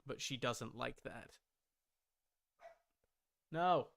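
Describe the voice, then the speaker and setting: A man's voice: medium pitch, lively intonation, very slow, vocal fry, fairly bright tone. A man chatting casually as he streams a game, rambling and repeating his phrases as he reacts to play. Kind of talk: casual live gameplay chat. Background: game audio.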